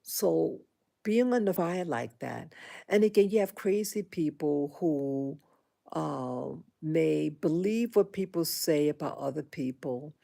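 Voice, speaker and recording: A woman in her sixties talking into her own vlog, halting and indignant, with frequent restarts and fillers. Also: low-pitched, lively intonation, creaky, dark tone. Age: 60s. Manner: aggrieved, indignant. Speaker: vlogger. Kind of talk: personal monologue vlog.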